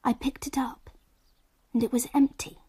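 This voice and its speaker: An anxious English girl telling her story in a radio play. Her voice is high and breathy, and she tells it in a hushed, deliberate, intense way. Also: fairly even intonation, whispered. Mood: shaken, hushed. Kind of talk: radio drama first-person narration.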